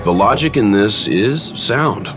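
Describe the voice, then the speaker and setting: Deep, composed investigator. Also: male voice, lively intonation. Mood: calm approval. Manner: measured, analytical, courteous. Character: composed, logical man, calm and courteous investigator. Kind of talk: video game voice acting. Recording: music under the voice.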